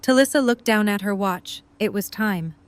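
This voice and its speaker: A woman's voice: mid-range voice, normal speed. A woman reading scripted story narration as the narrator of an audio drama.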